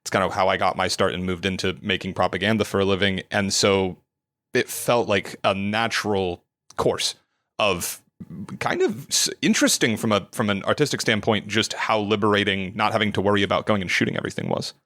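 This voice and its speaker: A man speaking as a podcast guest, earnest and measured, often repeating words as he goes. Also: lowish voice, fairly bright tone, dry recording. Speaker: podcast guest, creative director. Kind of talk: casual podcast interview.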